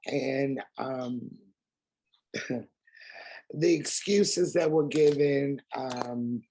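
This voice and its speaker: A woman with a raspy voice, speaking as a guest in a candid interview and pressing her points with emphatic rhetorical questions. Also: rumbling low, very slow, mellow, rough recording.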